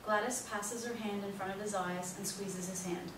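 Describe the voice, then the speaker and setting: A woman's voice: medium pitch, fairly even intonation, normal speed. A woman reading stage directions as scripted narration for a play reading. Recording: echoey room, distant mic, noisy.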